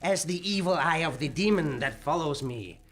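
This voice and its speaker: An actor playing a grim, haunted man telling a dark tale in a grave, dramatic way, in a live stage radio play. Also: medium pitch, lively intonation, normal speed, natural voice. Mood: ominous.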